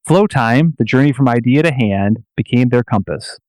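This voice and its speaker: A man delivers scripted dramatic narration with solemn, deliberate phrasing. His voice sits at a medium pitch and is recorded close, with very little room sound. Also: animated, darkish tone, no echo.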